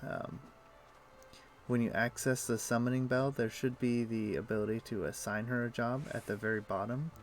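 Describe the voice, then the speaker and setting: A man streaming a video game, explaining things in a relaxed, meandering way with frequent pauses. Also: mid-range voice, a little hiss or hum. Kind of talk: casual gameplay chat.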